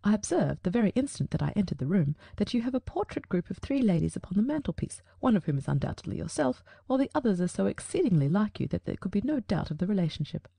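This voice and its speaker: A keen, probing detective from a storybook, his dark, mellow voice crackling with a gravelly edge, as a woman reads him. He questions crisply, turning each clue over aloud. The recording is dry and close. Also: medium pitch, sing-song, fast, hardly any pauses, no room sound, exaggerated voice. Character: sharp-witted male detective, keen and probing. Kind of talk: audiobook character reading.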